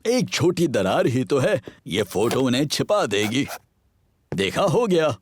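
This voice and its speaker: A man's voice: mid-range voice, very animated, unhurried, husky, no room sound, studio quality. A man voicing a boastful, bumbling father pig with a hearty chuckle in a children's cartoon dub. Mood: breezy.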